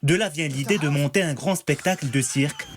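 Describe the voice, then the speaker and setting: A man reporter narrating a news feature about a film in a steady delivery. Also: on the high side, fairly quick. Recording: voice-over with loud music behind.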